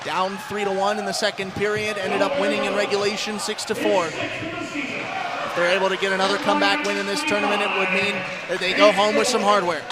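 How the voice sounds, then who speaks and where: A man's voice: high voice, fairly even intonation, a little fast, a loud crowd. A man calling live ice hockey on the radio as play-by-play announcer, in flowing descriptive calls that build on big plays.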